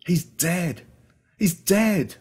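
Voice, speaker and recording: A man's voice: high-pitched, lively intonation, very slow, darkish tone, husky, voice pushed up, exaggerated voice. An Australian man voicing a distraught, grieving young boy in a storybook, speaking emphatically. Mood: stunned grief. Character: distraught young boy mourning his mauled dog. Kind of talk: storybook character voice.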